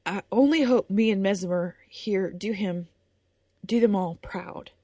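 A woman voicing an eighteen-year-old blacksmith's son, speaking quietly and earnestly. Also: mid-range voice, takes it slowly, no echo, studio quality. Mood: grieving.

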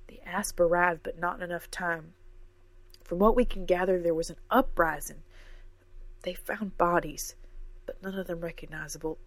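A woman reading aloud, voicing a small, fretful older woman scholar who talks briskly. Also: on the low side, steady tone, no echo. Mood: remorseful.

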